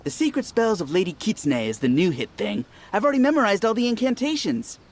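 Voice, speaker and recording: A man's voice: high voice, very animated, fast talker, a little hiss or hum. A man playing a dismissive, boastful, trend-savvy townsman in a video game, casual and scoffing. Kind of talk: scripted game voice acting.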